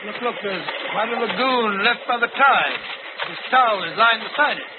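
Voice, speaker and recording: A nervous, blustering gentleman sidekick in an old radio mystery. His voice is pitched high, with exclamations and hesitations. The sound is thin and noisy. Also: animated, normal speed, phone-quality sound. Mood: flustered. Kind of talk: 1940s radio drama acting.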